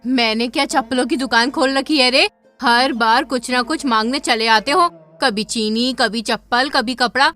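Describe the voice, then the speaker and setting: A man's voice: very high-pitched, moderate pace, pushed and bright, faint music behind, voice pushed up. A man doing a haughty, spiteful village aunt. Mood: scornful. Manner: taunting, haughty. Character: boastful, spiteful village aunt, middle-aged woman. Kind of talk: cartoon storybook voice acting.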